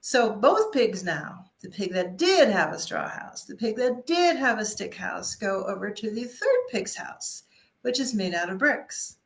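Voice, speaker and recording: A woman with a medium-pitched voice tells a scripted, personal story as a speaker and coach. She takes her time, pausing deliberately for emphasis. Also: expressive, creaky.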